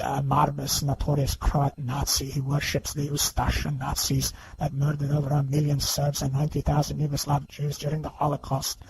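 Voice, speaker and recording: Gravelly, higher-pitched man arguing his case. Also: a little mellow, rough recording. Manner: halting, emphatic, repeatedly seeking agreement. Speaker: online video commentator. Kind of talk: unscripted argumentative commentary. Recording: faint background noise.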